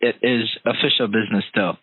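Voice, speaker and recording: A man's voice: lowish voice, fairly even intonation, a little fast, slightly rough, dry recording. Earnest man on a tinny call line. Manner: earnest, hesitant, many "you know" fillers. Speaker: podcast host. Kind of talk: interview conversation.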